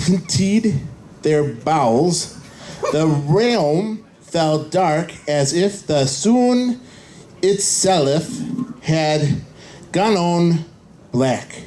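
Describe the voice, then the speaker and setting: A man on a live panel reading a story aloud in a very halting way. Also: a little high, darkish tone, slight background noise, poor audio. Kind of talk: very halting live read-aloud of comic fan fiction. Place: convention panel room.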